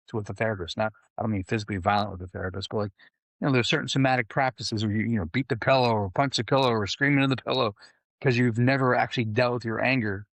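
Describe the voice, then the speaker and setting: A man's voice: medium pitch, a bit bright, dry recording, poor audio. A man coaching clients, talking in a loose, rambling way with many fillers. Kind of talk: casual conversation.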